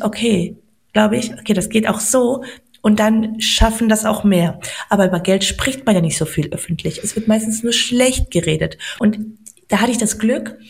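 Gravelly-voiced woman giving earnest money advice. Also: medium pitch, darkish tone. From Poland. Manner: earnest, encouraging, slows down to stress points. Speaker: podcast guest, money coach. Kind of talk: motivational podcast talk.

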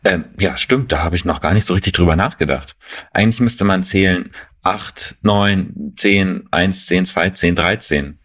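Tiefe, heisere Männerstimme, trocken-neckend. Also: male voice, low-pitched, unhurried, a bit bright. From Berlin, Germany. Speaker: podcast co-host. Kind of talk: casual conversational chat.

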